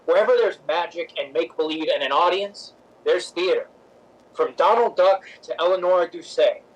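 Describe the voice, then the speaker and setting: A man plays a cocky theater director with a fairly high male voice. He is wisecracking and opinionated, hammering his points home like a lecturer in an old 1950s radio play. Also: low-quality recording. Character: brash, teasing, opinionated male stage director. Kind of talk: recreated 1950s radio drama acting.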